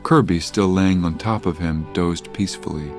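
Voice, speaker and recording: A man's voice: low-pitched, moderate pace, soft background music. A man reading scripted narration for an audio drama.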